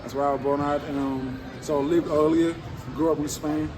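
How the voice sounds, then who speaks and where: A teenage basketball recruit from Senegal, answering interview questions in a halting, casual way, full of fillers. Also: male voice, on the high side, fairly even intonation, background noise. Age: teens. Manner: halting, many fillers like um and you know.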